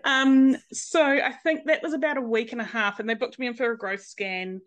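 A woman's voice: on the high side, fairly even intonation, moderate pace. A woman in her late thirties with a New Zealand accent tells her story openly and candidly. She talks in a frank, easygoing way and often laughs. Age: late 30s. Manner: open, frank, laughs easily. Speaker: guest, nurse and mother. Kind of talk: personal story in interview.

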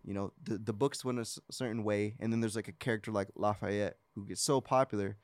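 A man co-hosting a podcast, talking casually in halting, tentative phrases. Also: on the low side, fairly even intonation, no room sound. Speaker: podcast co-host. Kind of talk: casual chat.

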